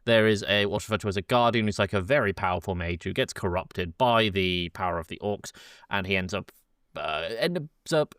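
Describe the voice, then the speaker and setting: Smooth, dry-sounding man hosting casually. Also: deep voice, lively intonation, moderate pace, fairly bright tone, no echo. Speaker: podcast host. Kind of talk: casual chat with loose, informal summarising.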